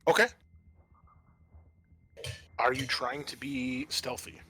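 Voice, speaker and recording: Bright-voiced man running a tabletop game. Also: mid-range voice, level tone, slight background noise. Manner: halting, conversational narration. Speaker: game master and host. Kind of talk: improvised narration of a tabletop roleplaying game.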